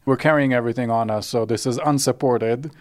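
A calm, easygoing man from Israel chatting casually outdoors at a campsite, recorded on a clip-on mic. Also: medium pitch, a little fast, dry recording, clean studio sound. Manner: calm, easygoing, short answers. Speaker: hiking partner, cousin. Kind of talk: casual conversation. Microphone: clip-on mics.

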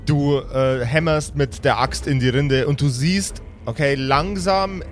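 A man's voice: on the high side, a little slow. A man acting as game master, improvising narration and chatting casually with his players. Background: prominent background music.

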